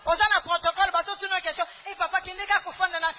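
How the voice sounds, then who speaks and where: A woman preacher with a Congolese accent gives an emphatic, scolding church testimony in short phrases, pausing for interpretation. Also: extremely high pitch, flat delivery. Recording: tinny phone-like band, loud noise.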